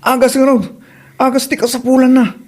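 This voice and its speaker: A man's voice: extremely high pitch, completely flat delivery, brisk, darkish tone. A man playing a gullible, concerned adult son in a comedy audio drama, fussing urgently. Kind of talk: comedy radio drama acting.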